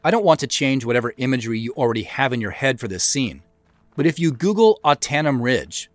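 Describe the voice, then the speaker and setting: An American man giving measured, thoughtful director's commentary on an audio drama he created, in a reflective scripted style. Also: medium pitch, very animated, no echo. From United States, Pacific Northwest.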